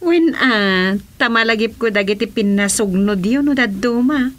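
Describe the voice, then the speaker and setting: An actress with an Ilocano Filipino accent playing a nosy, exclaiming young village wife in a radio comedy drama. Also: female voice, a little high, expressive, measured pace, fairly bright tone. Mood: teasing, curious. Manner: exclamatory, reactive.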